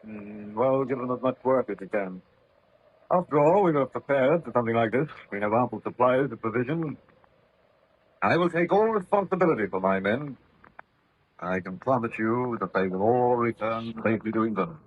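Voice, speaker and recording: Commanding sea captain, muffled recording. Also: male voice, medium pitch, lively intonation, normal speed, slightly rough. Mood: firm, reassuring. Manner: commanding, courteous, formal. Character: steady, responsible sea captain of exploring ship. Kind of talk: old-time radio drama acting. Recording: muffled, noisy old radio recording.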